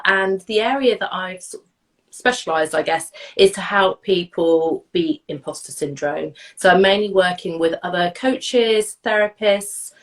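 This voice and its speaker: A woman in her forties, a bit stuffed up from a cold, chatting as an interview guest in a rambling way over a video call. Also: mid-range voice. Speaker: guest, therapist and coach. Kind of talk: casual interview chat.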